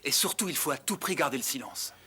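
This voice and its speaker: A man's voice: on the high side, expressive, fast, sharp tone, slightly rough, full character voice. An actor playing a brave, earnest young nobleman in a French cartoon dub. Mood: determined. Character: brave, earnest young nobleman seeking his father's killer.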